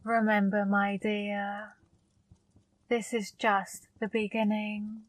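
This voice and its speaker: Casting: woman voicing a seductive female demon for ASMR, slow and level delivery, dry close sound. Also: mid-range voice, level tone, dry recording, lightly acted, poor audio. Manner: slow, soft, commanding. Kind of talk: ASMR roleplay.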